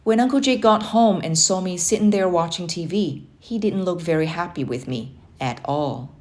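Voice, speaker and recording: A woman narrating a children's book aloud in an expressive storybook style. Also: lowish voice, normal speed.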